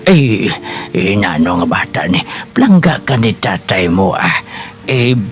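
A man's voice: fairly low pitch, sing-song, unhurried, faint music behind. A man with a Hiligaynon accent playing a kindly elderly grandfather in a radio drama, soothing his grandson with hesitant, eh-filled speech. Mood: gentle, reassuring. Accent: Hiligaynon (Western Visayas). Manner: hesitant, filling speech with repeated "eh".

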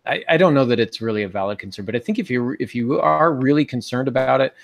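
A man's voice: mid-range voice, fast talker, gravelly, no echo, dull audio. A middle-aged man chatting casually as a podcast host in a writers' discussion, recorded on a video call. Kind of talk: casual panel discussion on writing. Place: small home office, indoors. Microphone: earbud headset on video call.

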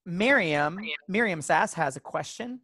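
A man's voice: sits high, animated, unhurried, pushed and bright, slight background noise. A man casually moderating an online question and answer session over a video call.

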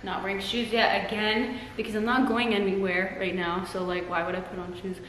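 A woman's voice: medium pitch, little pitch movement, a little fast, fairly bright tone, roomy sound, a little hiss or hum, low-quality recording. A young woman in her twenties chatting casually and bubbly in a home vlog, picked up on a camera mic across the room. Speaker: vlogger. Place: bedroom and living room at home.

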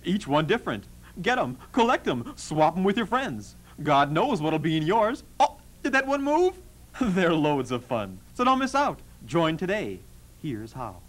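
Lively, high-pitched kids' ad pitchman played by a man. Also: a little slow. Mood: peppy. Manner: enthusiastic. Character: upbeat salesman pitching a kids' club membership. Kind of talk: parody children's TV commercial.

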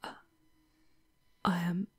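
A woman playing a tender, sorrowful female demon guide in a breathy, whispered ASMR roleplay, with dramatic pauses. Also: slight background noise. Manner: slow, hushed, dramatic pauses.

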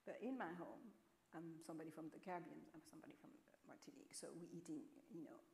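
French-accented woman on a stage panel, lowish voice, talks it through slow and thoughtful, big roomy hall. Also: creaky, darkish tone. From France. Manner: measured, reflective explaining. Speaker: panelist artist. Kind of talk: panel discussion. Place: stage of a large auditorium. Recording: echoey auditorium sound.

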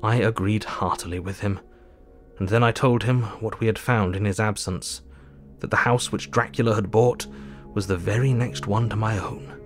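Low-voiced, sober Victorian doctor played by a man. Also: fairly even intonation, faint music behind, natural voice. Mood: reflective. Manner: measured, formal. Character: sober, reflective male Victorian doctor recording his diary. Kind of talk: audio drama diary narration.

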